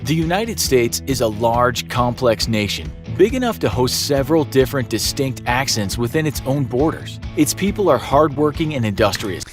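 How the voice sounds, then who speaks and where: Male documentary narrator, even fact-by-fact read, guitar music bed. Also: medium pitch, moderate pace, hardly any pauses. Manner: steady, fact-listing delivery. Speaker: narrator of a played video.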